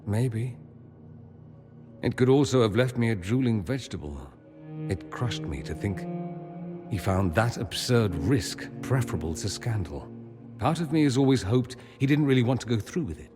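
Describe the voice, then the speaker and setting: An actor playing a proud, sardonic, wounded young mage of noble birth in a video game, articulate and theatrical. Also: male voice, mid-range voice, lively intonation, moderate pace, a little mellow, in a large hall, soft background music, lightly acted. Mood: hurt, subdued. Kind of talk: dramatic video game acting.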